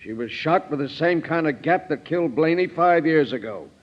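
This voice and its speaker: An actor with an American accent playing a brusque, hard-nosed police sergeant in a 1940s radio drama. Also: male voice, a little high, animated, moderate pace. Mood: stern. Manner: brusque, commanding, accusing. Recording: muffled old-time radio recording.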